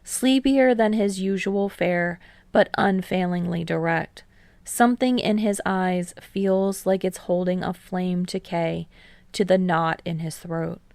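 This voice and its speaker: A woman narrates fiction in an audiobook style. Her delivery is slow and measured, with a creaky edge to the voice and a close, dry sound. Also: on the low side, steady tone, a little mellow, no echo. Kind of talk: scripted story narration.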